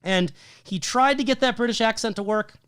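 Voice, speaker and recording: Steady man reading film notes, hoarse. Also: high-pitched, normal speed, no echo, clean studio sound. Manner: methodical, listing details with frequent "um" and "like". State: losing his voice. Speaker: podcast co-host.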